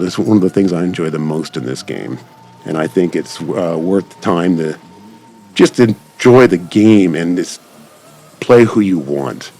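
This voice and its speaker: A man's voice: deep voice, a little mellow. A man giving casual, enthusiastic, rambling gameplay commentary as a channel creator. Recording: background music behind the voice. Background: music.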